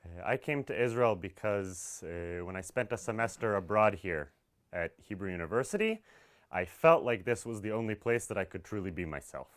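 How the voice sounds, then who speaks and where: A young man from the United States gives interview answers in a reflective, measured way. His voice is smooth and clear, sitting a little low. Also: animated. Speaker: student.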